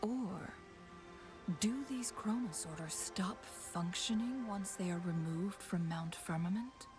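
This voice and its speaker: A woman playing a calm, scholarly young woman in a video game, speaking in a measured, pensive and formal way. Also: medium pitch, lightly acted. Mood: pensive, explanatory. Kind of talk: scripted video game voice acting. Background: game music.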